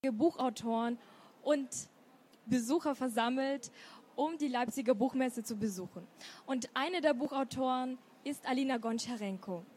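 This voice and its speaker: Moderatorin mit hoher Stimme. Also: female voice, high voice, normal speed, a little mellow, slight background noise. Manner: reads intro, asks friendly questions. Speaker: event moderator. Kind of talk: book fair interview.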